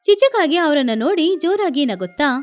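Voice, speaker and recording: A woman's voice: high-pitched, big pitch swings, brisk, faint music behind. A woman narrating a scripted children's story.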